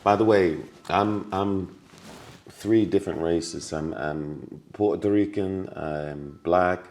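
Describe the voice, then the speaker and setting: A man's voice: low-pitched, slow pace, darkish tone. A man talking as a podcast guest, telling stories earnestly with many restarts and repetitions. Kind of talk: casual conversation.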